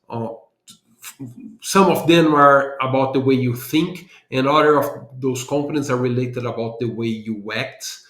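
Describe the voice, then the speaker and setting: A man's voice: mid-range voice, a bit bright, roomy sound. A man from Brazil with a Brazilian accent, a guest on a podcast interview, explaining his ideas earnestly and thinking aloud. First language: Portuguese. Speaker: guest, business analysis consultant.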